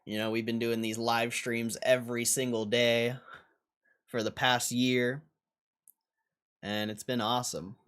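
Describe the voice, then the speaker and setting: A man's voice: mid-range voice, little pitch movement, a little slow, long pauses, a bit bright, smooth. A man hosting a livestream, chatting casually and informally with viewers in slangy, often repeated phrases. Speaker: livestream host. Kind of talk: casual livestream chat, reading out viewer comments.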